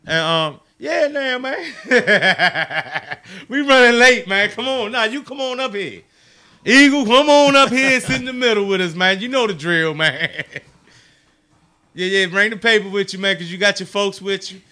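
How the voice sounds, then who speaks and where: A man's voice: sits high, expressive, moderate pace. A man hosting a casual live show, with a big voice, peppering his talk with "man". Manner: loud, says "man" a lot, big voice. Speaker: show host.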